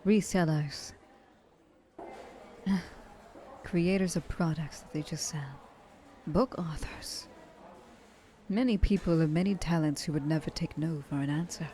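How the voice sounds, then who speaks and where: An elegant vampire woman of a thousand years, acted by a woman for an ASMR roleplay. Her voice sits on the low side and is very mellow, often sinking to a breathy whisper. She flirts in formal, genteel phrases with sweet condescension, over faint background noise. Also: slow pace, pauses often. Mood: seductive, challenging. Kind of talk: ASMR roleplay, intimate monologue to listener.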